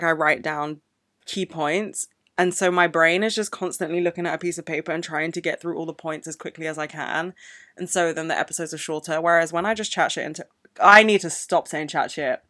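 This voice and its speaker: A woman's voice: low voice, fairly bright tone, no room sound, studio quality. A young woman hosting a solo podcast, talking off the cuff with emotion and plenty of swearing. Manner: emotional, swears a lot, ranting. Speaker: podcast host. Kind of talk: unscripted solo podcast monologue. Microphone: podcast microphone.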